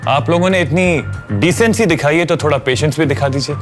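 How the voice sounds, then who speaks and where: A man's voice: fairly high pitch, brisk, soft background music, lightly acted. A man playing a confident young fiance in an audio drama, composed and polite with dry sarcasm. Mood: dryly sarcastic. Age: young adult. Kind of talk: Hindi audio drama acting.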